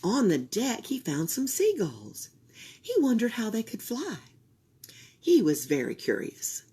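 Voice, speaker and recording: Slow, breathy woman reading a picture book aloud. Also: fairly high pitch. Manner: expressive, drops into whispers for suspense. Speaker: library storytime reader.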